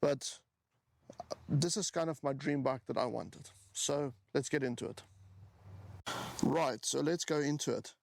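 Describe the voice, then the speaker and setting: Gravelly South African man in his forties. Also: medium pitch, a little slow, long pauses, no echo. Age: 40s. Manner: chatty, with frequent ums and restarts. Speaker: motorbike owner showing his build. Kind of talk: casual walkaround talk to camera.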